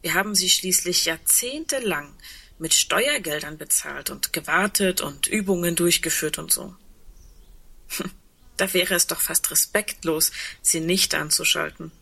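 A lowish female voice, husky yet bright and forward. She is a sceptical, candid woman who still holds on to a sliver of hope. Her delivery is plain and questioning, in the understated manner of naturalistic radio drama. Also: unhurried, exaggerated voice. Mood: pensive, sceptical. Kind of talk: naturalistic German radio play dialogue.

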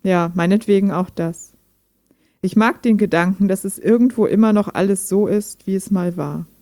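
A woman playing a gentle, guarded chatbot that talks like a human in an intimate radio play, thoughtful and understated. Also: mid-range voice, moderate pace, dry recording, clean studio sound, natural voice. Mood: gentle, hopeful.

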